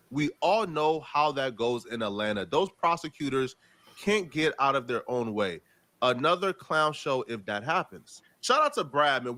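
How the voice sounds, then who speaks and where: A man's voice: medium pitch, fairly bright tone. A man giving casual, opinionated news commentary in a slangy, conversational, incredulous manner.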